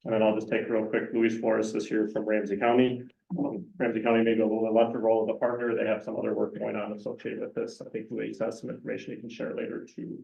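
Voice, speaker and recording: Fast, gravelly-voiced man. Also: on the low side, completely flat delivery, warm tone. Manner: brisk, with many ums. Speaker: city traffic engineer. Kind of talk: public meeting remarks. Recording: dull, echoey room sound.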